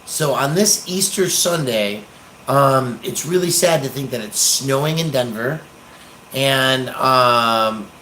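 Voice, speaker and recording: An American man rambling casually on a livestream, hesitant and pausing often as he talks. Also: medium pitch, bright, forceful tone, slight background noise, low-quality recording. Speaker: livestream host, activist.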